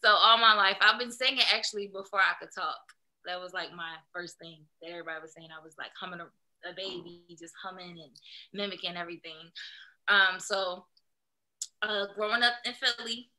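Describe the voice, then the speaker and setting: A young woman from Philadelphia talks through her story in a casual video call from a small room. Her voice is bright and forward at a middle pitch, and she rambles easily with fillers and soft laughs. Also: steady tone, brisk, rough recording. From Philadelphia, USA. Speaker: singer, guest. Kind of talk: casual interview.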